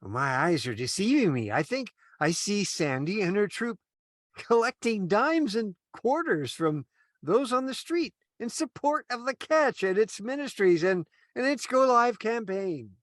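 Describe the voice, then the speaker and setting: Man as naive junior angel, eager, kindly, lively swooping pitch, dry close sound, radio play. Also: unhurried, no echo. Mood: amazed. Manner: earnest, exclaiming, swooping intonation. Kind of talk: old-time radio play parody.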